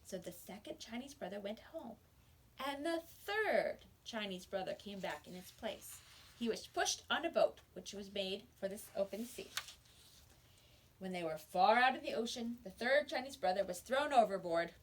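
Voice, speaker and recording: A woman teacher reading a storybook aloud to young children, expressive and pausing to explain words. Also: mid-range voice, slight background noise, low-quality recording.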